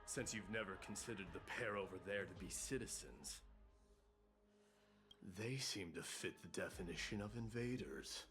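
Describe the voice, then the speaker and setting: A man voicing a proud, gruff warrior prince in a video game, speaking in a haughty, blunt and formal way. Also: fairly low pitch, moderate pace, close to an everyday voice. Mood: cold, suspicious. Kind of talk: dramatic video game voice acting. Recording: loud music underneath. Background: game music.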